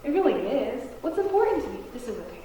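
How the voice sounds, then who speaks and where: A woman voicing a patient, weary man who speaks quietly and steadily despite his losses, recorded in an echoey room from a distance. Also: high-pitched, sing-song, quick pace, slight background noise. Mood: resigned. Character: patient, weary older man holding to faith.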